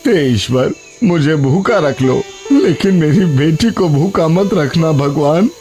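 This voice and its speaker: An actor playing a poor, honest labourer and tender, sorrowful father in an animated moral story, speaking gently and earnestly. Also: a little high, slow pace, faint music behind. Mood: sorrowful, despairing.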